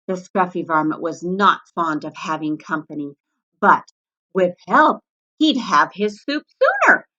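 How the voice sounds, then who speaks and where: A woman narrating a children's story aloud in an expressive read-aloud style, on a slightly muffled recording. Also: fairly low pitch. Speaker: storybook reader.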